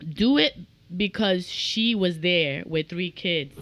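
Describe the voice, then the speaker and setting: Woman, 30s, podcast guest; bright, smooth voice, animated delivery, dry close-miked sound. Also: fairly low pitch, dry recording. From Dominican Republic. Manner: animated, laughing, talks in quick bursts. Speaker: realtor guest. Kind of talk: casual podcast chat.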